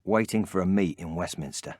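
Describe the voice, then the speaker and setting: A man's voice: low voice, brisk, background noise, natural voice. An actor playing the terse male scene-setting narrator of a crime video game. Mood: terse, ominous.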